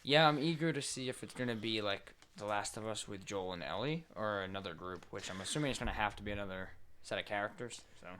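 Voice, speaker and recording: Faint background noise, man, lowish pitch, laid-back, casual podcast co-host chat. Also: animated, fast talker, few pauses, a bit bright.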